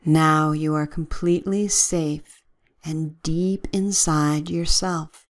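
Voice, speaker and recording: A woman leading a guided relaxation with gentle, soothing, measured instructions and long pauses. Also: sits low, fairly even intonation.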